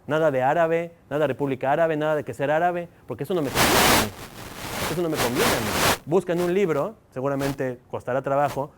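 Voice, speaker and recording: A man's voice: a little high, fairly quick, heavy background noise. A male university professor with a Mexican accent, lecturing students and firing rhetorical questions at them. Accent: Mexican Spanish. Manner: engaging, fires rhetorical questions at the audience.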